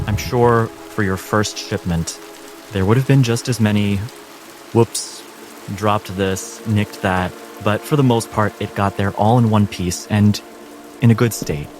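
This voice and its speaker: Low-voiced man narrating a tabletop game. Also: music in the background. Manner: measured, deliberate phrasing. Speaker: game master.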